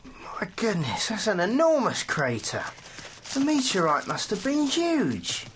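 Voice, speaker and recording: An actor playing a curious but cautious husband in an audio drama, expressive and exclaiming. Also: male voice, high voice, unhurried, slight background noise, heavily acted. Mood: amazed, alarmed.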